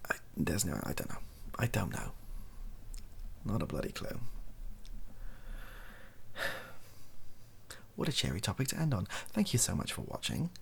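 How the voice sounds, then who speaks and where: A softly spoken British man improvising a monologue as a video host, hesitant, thinking aloud and correcting himself as he goes. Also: fairly low pitch, wildly swooping pitch, measured pace, gravelly, pauses often, slight background noise. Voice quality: soft-spoken.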